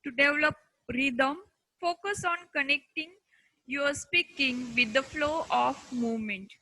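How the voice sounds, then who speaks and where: Female instructor, Indian accent, slow and deliberate scripted lesson read, close dry sound. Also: high-pitched, pushed and bright, no echo, low-quality recording. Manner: deliberate, halting phrasing in short chunks.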